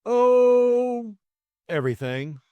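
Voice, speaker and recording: A man's voice: very high voice, sing-song, extremely slow, warm tone, no echo, highs cut off, pitched above the performer's own voice, heavily acted. A man voicing an anxious, earnest upper-class young man in an audiobook drama, hesitant and full of old-fashioned exclamations. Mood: worried, earnest.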